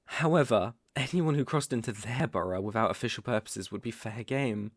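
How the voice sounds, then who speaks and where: A man with a British accent playing a smooth-talking, sinister werewolf posing as a protective gentleman, speaking formally and measuredly in an ASMR roleplay. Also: medium pitch, no room sound, studio quality, natural voice. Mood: explaining, polite. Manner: courtly, formal, measured, insinuating. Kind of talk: ASMR roleplay audio acting.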